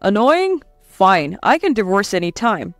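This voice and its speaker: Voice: medium pitch, sing-song, unhurried, no room sound. A performer voicing a domineering, irritable husband in a narrated story, scolding and commanding with contempt. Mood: contemptuous, commanding. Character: domineering, irritable, sneering husband berating his wife. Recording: dry close voice over faint music.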